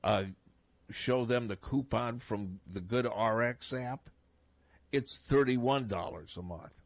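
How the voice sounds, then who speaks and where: A man's voice: medium pitch, takes it slowly, dry recording. An older man hosting a casual radio sports talk show, congested and talking in a rambling, chuckling way. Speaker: radio host. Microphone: studio broadcast mic.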